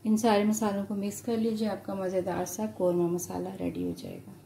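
Woman with urdu-ish accent walking you thru a recipe, calm and clear, faint sizzling behind. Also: medium pitch, a little fast, a little hiss or hum. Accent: Urdu-influenced Hindustani. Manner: calm, explanatory, addresses viewers directly. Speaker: home cooking channel host. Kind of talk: step-by-step cooking instructions. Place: home kitchen at the stove.

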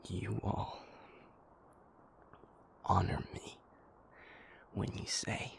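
A man playing a grieving, self-doubting pack alpha in an intimate audio drama, breathy and gravelly, speaking in a halting, heavy way. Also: whispered. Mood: bitter, strained. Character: weary, self-doubting male pack alpha, burdened by grief.

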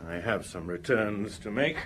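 Evasive salesman with lively intonation. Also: on the low side, moderate pace, a little hiss or hum. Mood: dismissive. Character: smooth-talking, evasive salesman under police suspicion. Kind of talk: radio drama acting.

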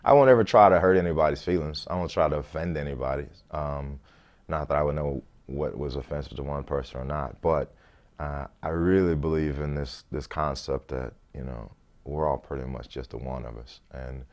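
A man in his thirties with a deep voice, a comedian chatting in an interview in a small studio, easygoing and laughing often, restarting words as he thinks. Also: fairly even intonation, moderate pace, a little mellow, lo-fi sound. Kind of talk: relaxed interview chat. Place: small indoor studio set.

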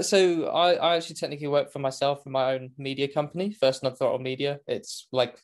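A young British man in his twenties talks casually as a podcast guest. He is chatty and self-deprecating and laughs easily. His voice sits at an ordinary middle pitch. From England. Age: 20s. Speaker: guest, motorcycle racing commentator. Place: home, remote call.

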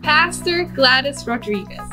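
A woman's voice: high voice, expressive, unhurried, sharp tone. A young adult woman co-hosting an event with a scripted welcome. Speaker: event co-host. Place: outdoor rooftop terrace in a city. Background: background music.

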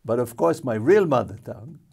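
An older man from Jerusalem, a guest in a TV studio interview, speaking slowly with many pauses and restarts into a lapel mic. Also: mid-range voice, animated, creaky, dark tone, slightly rough. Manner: slow, halting, with many fillers and restarts.

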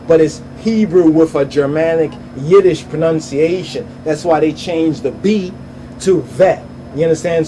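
Mellow man teaching word sounds. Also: fairly high pitch, a little hiss or hum, poor audio. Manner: keeps asking "you understand?" after each point; spells out word sounds slowly and repeats them. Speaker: bible study teacher. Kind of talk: informal religious language lesson. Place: voice-over on slides.

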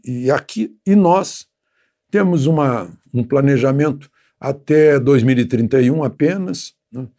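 Gravelly older man, studio commentary. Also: mid-range voice, dark tone. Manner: conversational, many hesitations and tag questions; seated, gesturing with both hands. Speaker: political commentator. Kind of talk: unscripted spoken commentary. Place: small studio with graphic backdrop. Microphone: lapel mic.

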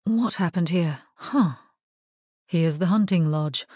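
A grave necromancer lady for a fantasy game, lowish husky voice, slow solemn delivery, super dry recording. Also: mellow, no echo. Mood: grim, wary. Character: grave, resolute woman necromancer, dark fantasy game hero. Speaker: video game voice actor. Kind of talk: scripted video game voice lines.

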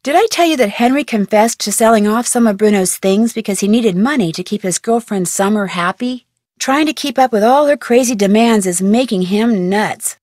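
An actress voicing a curious, plucky young female detective in a video game, with a brisk, wry tone. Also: medium pitch, darkish tone, dry recording, studio quality, natural voice. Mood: inquisitive. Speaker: game character, teen detective.

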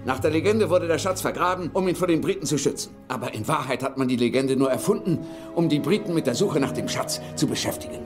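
A man's voice: on the high side, animated, quick pace, close to an everyday voice. An actor playing a skeptical older father, grumbling and dismissive, in a dubbed film. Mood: resigned, exasperated. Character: bitter, skeptical older father, weary of treasure hunting. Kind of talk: German film dub acting. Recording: film soundtrack with music underneath.

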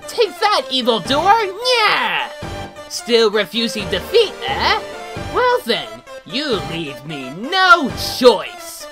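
A woman voicing an excitable, childlike, caring male skeleton hero in a theatrical fan comic dub. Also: fairly high pitch, slow pace, full character voice. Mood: excited, triumphant. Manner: theatrical, bombastic, eager. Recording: music behind the voice.

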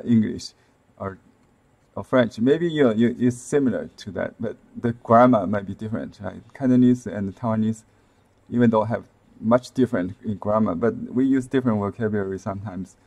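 A man in his fifties with a Chinese accent, explaining calligraphy on a live stream from a desk webcam, softly and with many fillers and restarts. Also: lowish voice. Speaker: calligraphy and seal-carving teacher. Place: indoor room with shelves, at a desk.

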